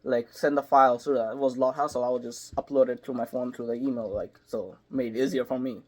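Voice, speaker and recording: A young adult voice actor in college, chatting casually on a video call with quick asides. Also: medium pitch, fairly even intonation. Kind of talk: casual group interview.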